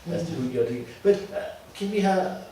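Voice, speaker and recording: A man with an Austrian accent talks to a small group as their trainer, picking his words deliberately and checking in with short tag questions. His voice sits a little high. It is picked up from a distance in a very reverberant room with faint noise. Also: animated, dark tone. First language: German. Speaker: teacher trainer leading a workshop. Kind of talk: informal workshop talk to a small group. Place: classroom.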